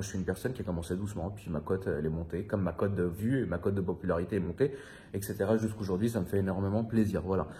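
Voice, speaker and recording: A man's voice: low-pitched, dark tone. A man in his thirties from France, talking to his viewers in a rambling, confiding way, slangy and swearing casually. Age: 30s. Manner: rambling, loose and confiding, many fillers and repeats. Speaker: vlogger. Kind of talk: casual monologue to camera.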